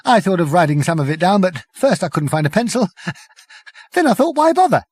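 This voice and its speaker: A man voicing a scraggy little man who can't stop laughing, high and wildly giddy. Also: huge pitch swings, moderate pace, husky, no room sound, exaggerated voice. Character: giggly, manic little man, high-pitched.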